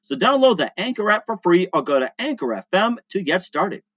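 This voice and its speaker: A man's voice: high-pitched, big pitch swings, fairly quick, dull audio, background noise. A man hosting his own podcast, reading sponsor spots and introducing a guest with many ums, uhs and restarts. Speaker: podcast host. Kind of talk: casual interview and self-read sponsor spots.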